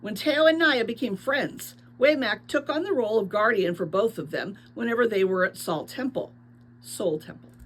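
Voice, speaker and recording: A woman's voice: a little high, moderate pace. A woman reading a book aloud expressively as a teacher, adding short explanatory asides.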